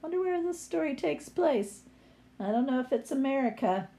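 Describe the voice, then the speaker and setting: A woman's voice: high-pitched, expressive, dark tone. A woman reading a picture book aloud as a teacher would, with explanatory asides to young listeners. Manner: unhurried, explanatory.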